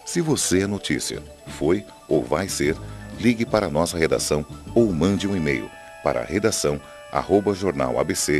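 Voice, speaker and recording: Very deep male ad announcer. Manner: measured announcer delivery. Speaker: commercial announcer. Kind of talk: radio-style newspaper ad.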